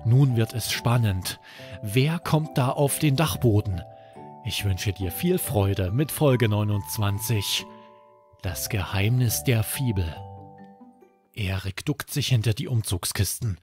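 Slow, expressive man telling bedtime stories. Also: fairly low pitch, faint music behind. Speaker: storyteller and podcast host. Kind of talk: scripted bedtime story narration for children.

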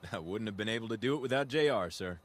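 A man's voice: on the low side, lively intonation, fast talker, natural voice. An actor playing a brash, eager young male security recruit in a video game cutscene, casual and cocky but polite to superiors. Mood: sheepish, polite.

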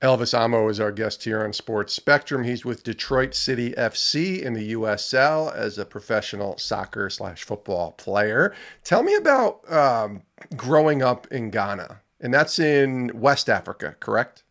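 A middle-aged man hosting a podcast, recording in a home studio with headphones on. He talks at an ordinary, mid-level pitch and keeps things conversational. He often checks in with "right?" and "correct?" as he asks his questions. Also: animated, measured pace, fairly bright tone. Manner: friendly, prompting questions with "yeah" and tag questions. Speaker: podcast host. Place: indoors, home studio with posters behind. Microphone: headset or desk mic on a video call, headphones on.

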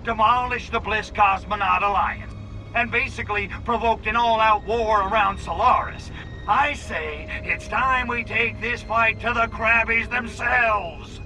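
High-pitched cocky alien invader. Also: male voice, a little slow, bright, forceful tone, far from the mic, faint music behind, heavily acted, low-quality recording. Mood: smug. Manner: sarcastic, blustering. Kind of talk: video game cutscene acting.

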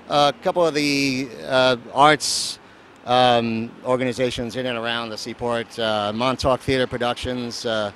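A man's voice: mid-range voice, fairly bright tone. A man, a festival producer, rambling and joking through a casual street interview outdoors. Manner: rambling, joking, lots of fillers. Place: outdoors at a seaport. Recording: faint street noise and traffic.

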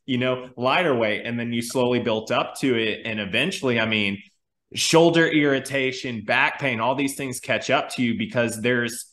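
A man's voice: medium pitch, a bit bright, roomy sound. A man in his thirties, a strength coach, explaining training ideas in casual talk on a video call, with frequent restarts and fillers. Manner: thoughtful, many restarts and fillers. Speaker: strength coach, podcast co-host. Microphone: video call webcam.

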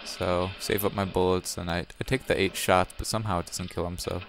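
A young man with a slightly raspy voice, giving casual commentary over his own gameplay footage and often correcting himself as he talks. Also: low voice, steady tone, a little fast, slight background noise. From Wisconsin, USA. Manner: rambling, self-correcting, chatty. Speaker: gaming channel host. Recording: music playing behind the voice.

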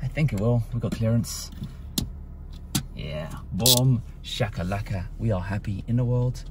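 A man, casually reviewing a gadget in a jokey, excitable way from inside a car. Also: lowish voice, a little slow. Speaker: tech reviewer. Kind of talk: casual product review vlog. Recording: noisy car cabin.

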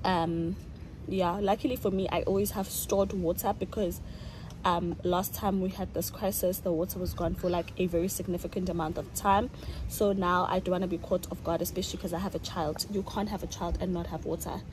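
A woman's voice: fairly low pitch, fairly even intonation, a little fast, slight background noise, low-quality recording. A young woman, chatting casually to a phone camera as a vlogger while running errands, laughing often. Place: car and shops, out and about.

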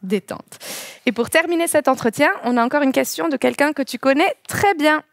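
Une jeune femme qui coanime une émission télé et enchaîne des questions rapides d'un ton vif. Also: female voice, high voice, gravelly. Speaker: co-host. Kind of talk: TV interview, quick-fire questions. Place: TV studio set.

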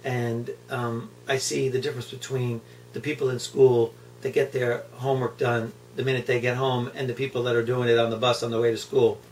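A man's voice: medium pitch, flat delivery, brisk, a little mellow. Middle-aged man, distant and noisy recording. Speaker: guide dog puppy raiser. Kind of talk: casual reflective talk to camera. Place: indoor room at home, seated on floor. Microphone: camera mic a few feet away. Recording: distant camera mic, hum and background noise.

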